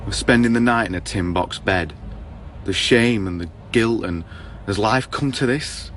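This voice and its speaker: A man's voice: on the low side, a little slow, a bit bright, slight background noise. A man acting a sardonic, charming, down-on-his-luck salesman in a radio play, delivering a wry, rueful verse monologue. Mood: rueful, bitter. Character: sardonic charming half-Latin salesman sleeping rough in car. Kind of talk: poetic radio drama acting.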